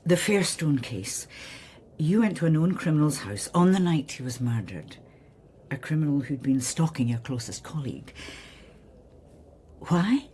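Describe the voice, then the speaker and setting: A woman's voice: low voice, lively intonation, unhurried, long pauses, dark tone. Blunt, impatient female police interrogator, formal delivery, radio drama, slight background noise. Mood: stern.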